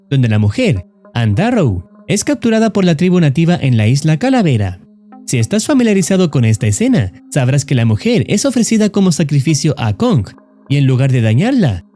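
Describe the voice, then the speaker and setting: A man narrating a horror story as a scripted voice-over, in a steady, solemn storytelling manner with ominous emphasis. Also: fairly high pitch. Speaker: YouTube horror channel narrator.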